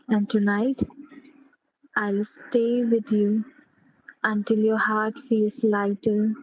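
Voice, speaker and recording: A woman leading a guided sleep meditation in a gentle, unhurried manner, pausing between short phrases. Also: mid-range voice, no room sound, poor audio.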